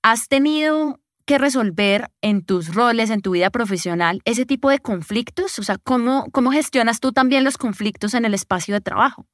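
A woman's voice: on the high side, normal speed, few pauses, no room sound, clean studio sound. A woman from Colombia hosting a podcast, asking long, friendly, explanatory questions and sharing her own experiences. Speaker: podcast host. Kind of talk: interview questions and anecdotes. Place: recording in Bogotá.

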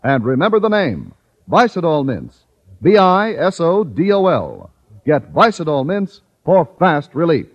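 A man's voice: sing-song, unhurried. An American man announcing a scripted commercial on old-time radio with an emphatic sales delivery. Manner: emphatic, declarative sales pitch. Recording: dull old radio recording.

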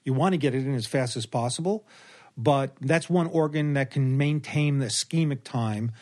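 An older man, a surgeon, explaining in casual chat, measured and often repeating words as he goes. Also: medium pitch, darkish tone. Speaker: surgeon guest.

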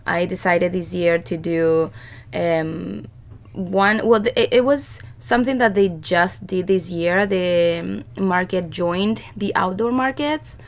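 A woman in her thirties, hoarse from a cold, chatting casually as a podcast co-host and rambling with many fillers and restarts. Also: on the low side, takes it slowly. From Chile. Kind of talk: casual chat.

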